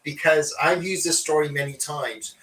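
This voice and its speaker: A man's voice: a little high, moderate pace, sharp tone. A man hosting over a video call, chatting and retelling anecdotes to his guest. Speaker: host. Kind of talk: casual chat. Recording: roomy, distant from the mic.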